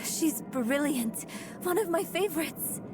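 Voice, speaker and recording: High-voiced, eager young woman. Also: lively intonation, slow, vocal fry, loud music behind the voice, heavily acted. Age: young adult. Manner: eager, insistent. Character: earnest, bookish, determined young woman scholar. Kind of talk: dramatic video game dialogue.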